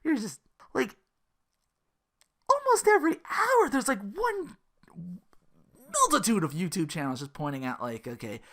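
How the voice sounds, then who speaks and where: A podcast host giving casual, exasperated and sarcastic commentary in an animated way, swearing freely. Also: mid-range voice, unhurried, pauses often. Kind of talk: casual ranting commentary about an internet personality.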